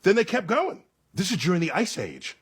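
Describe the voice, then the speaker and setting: Animated male science explainer, husky voice. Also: sits high. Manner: emphatic, theatrical storytelling with dramatic pauses and check-in questions.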